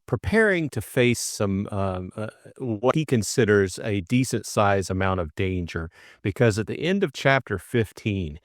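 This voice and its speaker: A man's voice: fairly low pitch, no echo, studio quality. A middle-aged man co-hosting an audiobook, narrating and chatting informally about the book in a hesitant way. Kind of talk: narration and casual book discussion.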